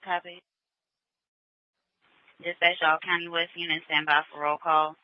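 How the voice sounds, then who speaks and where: Casting: male police dispatcher, flat delivery, clipped and procedural, over dull lo-fi radio. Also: fairly high pitch, measured pace, no echo.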